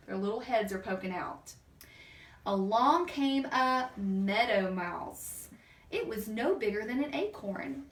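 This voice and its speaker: Woman reading a storybook in an echoey room. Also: fairly high pitch. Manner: expressive, asks the listening child questions. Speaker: storybook reader and teacher. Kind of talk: children's picture-book read-aloud with chatty asides. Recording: roomy, distant microphone, background noise.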